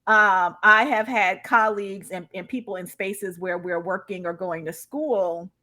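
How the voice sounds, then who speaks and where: A woman's voice: mid-range voice, fairly even intonation, a little slow, bright, forceful tone. A woman speaking as a guest in a live discussion, conversational and emphatic, often checking in as she shares her experience. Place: live online video call.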